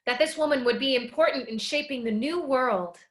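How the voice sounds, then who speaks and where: A woman's voice: high voice, brisk, close to an everyday voice. A woman playing a solemn mutant fish heralding a new species in a staged reading. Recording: roomy, distant, clearly noisy audio.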